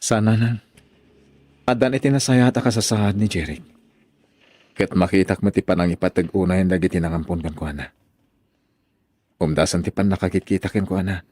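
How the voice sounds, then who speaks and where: A man's voice: low-pitched, a little fast, pauses often, a little mellow, dry recording. A man playing an earnest, pleading father searching for his lost son in an audio drama. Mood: subdued. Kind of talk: amateur audio drama acting.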